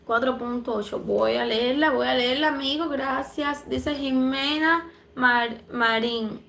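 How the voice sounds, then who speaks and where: A woman's voice: sits high, takes it slowly, vocal fry, fairly bright tone, echoey room, slight background noise. A young woman from Cuba and the Dominican Republic, chatting affectionately on a livestream as she reads viewer comments aloud, a little stuffy from allergies. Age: young adult. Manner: affectionate, chatty, laughs often. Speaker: livestream host. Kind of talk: live chat reading viewer comments. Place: small room indoors. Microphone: phone camera at arm's length.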